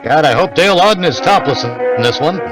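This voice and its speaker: A man's voice: on the high side, animated, quick pace, over music. A man giving casual, joking commentary as a guest.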